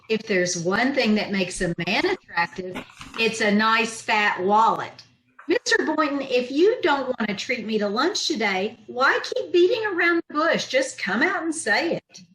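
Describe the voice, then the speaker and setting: A woman's voice: a little high, sing-song, a little slow, fairly bright tone. Echoey, wisecracking woman teacher, played by an actress. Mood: wry. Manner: wry, sarcastic comebacks. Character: wisecracking, dry-witted woman high school teacher. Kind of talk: old-time radio comedy acting. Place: echoey room, remote video-call performance. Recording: echoey room over video call.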